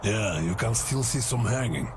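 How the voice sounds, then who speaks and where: A man playing a surly but loyal gang enforcer in a video game cutscene, speaking gruffly and tersely. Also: lowish voice, lively intonation, moderate pace, noisy background, lightly acted. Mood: sardonic.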